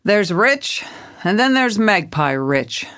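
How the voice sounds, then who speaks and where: An actress playing a world-weary, dogged female police commissioner, narrating noir-style in a dry, deadpan way. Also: mid-range voice, takes it slowly, clean tone. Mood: grim, resolute. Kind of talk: noir detective narration and dialogue.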